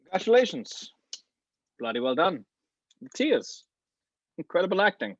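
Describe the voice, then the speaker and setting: A composed middle-aged gentleman spy, read by a man in a table read, measured and calm. His voice has an average pitch. It comes through a dry, slightly dull home microphone. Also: no room sound. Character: polished middle-aged male spy mentor. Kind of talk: amateur table read over video call.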